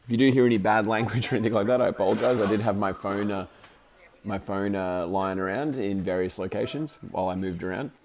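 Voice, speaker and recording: Deep-voiced man, croaky from the flu. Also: fairly even intonation, quick pace, darkish tone. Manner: rambling, chatty, many fillers and restarts. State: croaky, getting over the flu. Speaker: amateur hockey commentator and video maker. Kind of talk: casual voice-over commentary on his own game footage.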